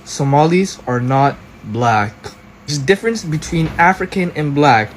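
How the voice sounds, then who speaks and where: Assertive man, higher-pitched, animated delivery. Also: normal speed, slight background noise, rough recording. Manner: assertive, questioning. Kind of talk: short clip monologue.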